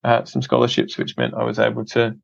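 A man's voice: medium pitch, completely flat delivery, brisk, vocal fry, no room sound, dull audio. An Australian man from Adelaide, a podcast guest speaking earnestly in casual interview talk, often repeating words as he restarts. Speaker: podcast guest, film company head.

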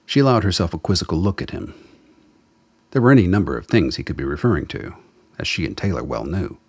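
Guy narrating a radio drama, super low rough husky voice, scripted storytelling. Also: animated, fast talker. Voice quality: very deep, husky.

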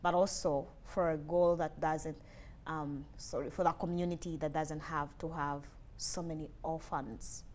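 A Ugandan woman in her twenties, speaking earnestly and softly as an interview guest. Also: sits low, slight background noise. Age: 20s. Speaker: interview guest, lab technologist. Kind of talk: casual interview conversation.

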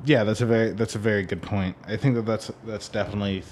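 Lowish voiced guy podcast host, halting music chat, says like a lot, bit of background noise. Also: fairly even intonation, a little mellow. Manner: halting, hedging, heavy use of "like". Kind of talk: casual music discussion.

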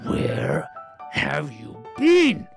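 A woman voicing a stern king of an underwater realm who speaks slowly and menacingly, sometimes dropping to a whisper. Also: a little high, wildly swooping pitch, vocal fry, faint music behind, heavily acted.

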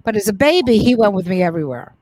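A woman's voice: medium pitch, sing-song, quick pace, darkish tone, no room sound. A middle-aged woman comedian telling stories from her life in a casual interview, frank and profane, often restarting her sentences. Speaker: comedian guest.

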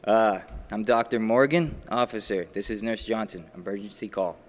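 A man playing a brooding, menacing murderer in a radio thriller, taunting and deliberate, with bitterness and sharp commands. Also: on the low side, a little hiss or hum, natural voice. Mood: guarded. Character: menacing, brooding male murderer toying with his captive. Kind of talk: classic radio thriller acting.

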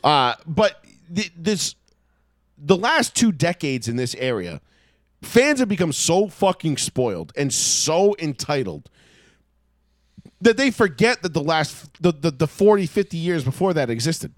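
A man's voice: on the high side, very animated, a little slow, no echo, studio quality. A man in his thirties with an American accent chatting about sports and telling stories in a profane, opinionated, emphatic way. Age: 30s. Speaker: sports talk show host. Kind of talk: casual sports chat and storytelling.

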